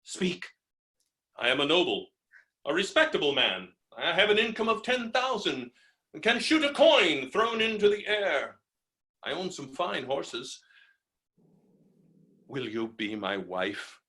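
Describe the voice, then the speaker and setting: An actor playing a hot-headed, stammering landowner who is helplessly falling in love, in a theatrical staged reading of a farce. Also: male voice, a little high, big pitch swings, pushed and bright, heavily acted. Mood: desperate, lovestruck. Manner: theatrical, stammering, swings from halting to bellowing.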